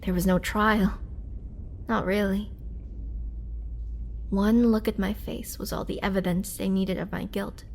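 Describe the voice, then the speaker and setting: Actress as imprisoned female vampire, roleplay drama: youthful yet centuries old, mid-pitched, sorrowful, soft-spoken storyteller with wistful pauses. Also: steady tone, gravelly, slight background noise. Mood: somber.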